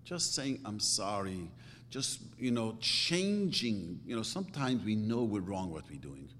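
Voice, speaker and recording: Man preaching, very lively pitch, emphatic, long hall reverb. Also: measured pace, slight background noise. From grew up speaking Yiddish and Hungarian. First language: Yiddish. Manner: emphatic, swings from low asides to loud outbursts. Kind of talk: sermon.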